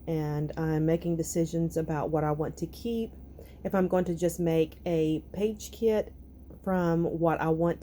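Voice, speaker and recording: A woman with a raspy, nasal, hoarse voice, talking through her crafting clean-out in an unhurried, thinking-aloud vlog. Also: lowish voice, mellow, a little hiss or hum. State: recovering from illness, hoarse. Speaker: crafting vlogger. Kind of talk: casual vlog talk and voiceover.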